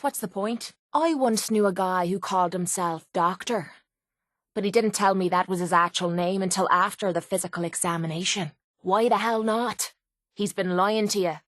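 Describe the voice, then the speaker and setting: A woman's voice: medium pitch, normal speed, no echo, studio quality, close to an everyday voice. A woman playing a cynical, defiant young female brawler in a video game, with an Irish accent and a blunt, sardonic, slangy delivery. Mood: sardonic, irritated. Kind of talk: video game character dialogue.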